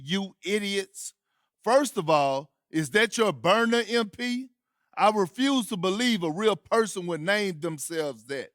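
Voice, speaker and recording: An actor playing a scornful, theatrical American sports pundit in a video game, mocking and emphatic. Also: male voice, sits high, a bit bright, no room sound, studio quality. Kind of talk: opinionated sports commentary.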